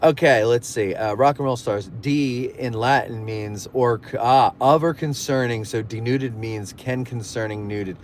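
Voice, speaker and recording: A man's voice: mid-range voice, measured pace, few pauses, a little hiss or hum. A man hosting a casual live stream, rambling through stories and jokey asides while reading viewer messages. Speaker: livestream host. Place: in a moving car.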